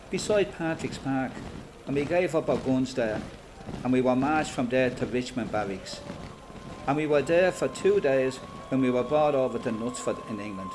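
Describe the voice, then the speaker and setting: An actor playing an Irish freedom fighter veteran, giving matter-of-fact first-person testimony in an Irish accent for a radio play. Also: male voice, medium pitch, natural voice. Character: plain-spoken Irish volunteer veteran recounting his fighting days.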